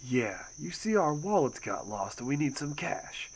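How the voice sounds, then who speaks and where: A man's voice: on the high side, big pitch swings, unhurried, slight background noise. A man playing a pushy young street thug in an audio roleplay, talking in informal slang. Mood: cocky, pushy.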